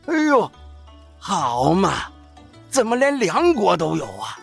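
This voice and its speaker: An actor playing an old village opera-troupe boss, folksy, worldly and cautious, with a raspy, sighing, drawling delivery in an audio drama. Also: male voice, high-pitched, huge pitch swings, slow pace, fairly bright tone, soft background music, full character voice. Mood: folksy, puzzled. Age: older. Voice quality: raspy, creaky.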